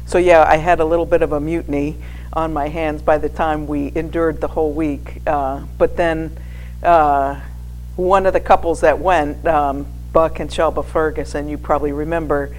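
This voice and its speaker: American lady in her 60s sharing her story at church, kinda halting and chatty, hum and noise behind her. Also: deep voice, steady tone, darkish tone, rough recording. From New England, United States. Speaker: guest speaker, former missionary sharing with a church congregation. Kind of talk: informal personal testimony. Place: speaking live to a church gathering. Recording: clear background noise with hum.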